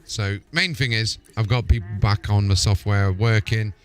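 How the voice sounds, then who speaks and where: A man's voice: lowish voice, normal speed, clear, resonant tone. A man hosting a live gaming stream, talking casually as he plays and troubleshoots.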